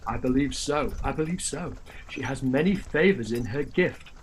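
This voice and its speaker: A man playing a genial, easily impressed older gentleman in a radio play, hearty and husky. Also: medium pitch, moderate pace, a little hiss or hum, lightly acted, rough recording.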